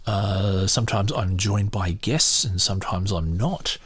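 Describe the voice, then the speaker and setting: A man with a deep voice hosting his podcast, talking in a relaxed, rambling way with hesitations and self-corrections. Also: measured pace, husky, no echo. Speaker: podcast host. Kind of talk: casual podcast chat.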